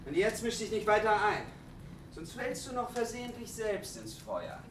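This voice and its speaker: A man's voice: high-pitched, big pitch swings, moderate pace, noisy background. An actor playing a brash, fearless young hero in a fantasy radio play, bold and taunting in his declamation. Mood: cocky. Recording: echoey, distant sound with music behind.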